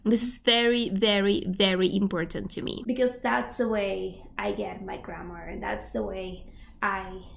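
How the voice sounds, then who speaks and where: A woman's voice: mid-range voice, creaky, slight background noise. A young woman with a Spanish accent chats through language-learning advice in a mid-range voice, leaning on "like" and "um" as she works through examples. The room around her is noticeably echoey. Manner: chatty, filler-heavy, explains with examples. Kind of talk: casual instructional talk.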